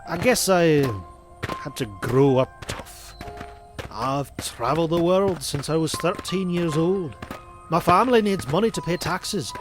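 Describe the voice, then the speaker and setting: Casting: male actor as gruff young duck prospector, proud and bossy, highish animated voice, cartoon dub over music. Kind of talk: fan comic dub voice acting.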